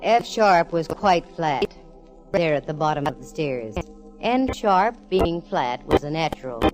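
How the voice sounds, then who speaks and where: A cool female private eye with an American accent and a low-pitched voice. She narrates a radio mystery in slangy, wisecracking 1940s style. Organ music sits behind the old recording. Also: expressive, a little slow. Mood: wry.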